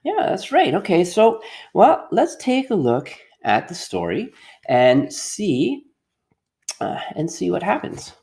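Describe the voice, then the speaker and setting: A man's voice: sits high, huge pitch swings, slow, vocal fry, a little mellow. A middle-aged man leading an interactive read-aloud for young children as their teacher, encouraging them and repeating his questions. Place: quiet workshop at home.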